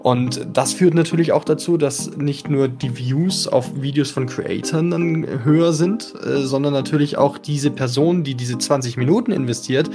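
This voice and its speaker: A man in his early twenties talks casually as a podcast guest. He is relaxed and chatty, stumbling through fillers and laughing easily. His pitch sits at an ordinary middle level. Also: normal speed, hardly any pauses, music in the background. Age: 20s. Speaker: podcast guest, tech creator.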